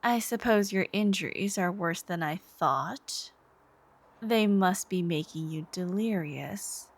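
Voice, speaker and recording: A woman voicing an obsessive, devoted, soft-spoken supervillain in a scripted ASMR roleplay, intimate and menacing, with phrases trailing into whispers. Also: fairly low pitch. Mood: adoring, possessive. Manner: slow, intimate, breathy sighs and whispered phrase endings.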